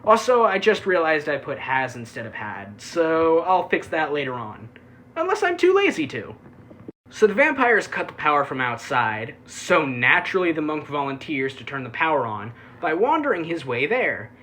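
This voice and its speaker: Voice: mid-range voice, sing-song, normal speed, fairly bright tone. A reviewer giving a casual, sarcastic recap, full of offhand asides and swearing. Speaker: anime reviewer.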